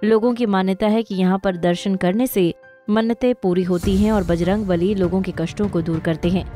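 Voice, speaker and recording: A woman's voice: mid-range voice, fairly even intonation, moderate pace, warm tone. A woman reading a scripted news report as the narrator. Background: music.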